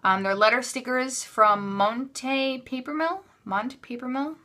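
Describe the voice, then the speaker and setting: A woman's voice: sits high, measured pace, bright, forceful tone. A woman talking casually and off the cuff, chatty and offhand, often interrupting herself as she shows items. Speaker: craft haul vlogger. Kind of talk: casual unscripted show-and-tell. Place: indoors at a craft table.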